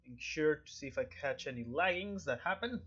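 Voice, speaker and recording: A man's voice: fairly high pitch, expressive, brisk, fairly bright tone, husky, highs cut off. A man giving casual, rambling livestream commentary on a game, often correcting himself as he talks into a headset microphone. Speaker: game streamer.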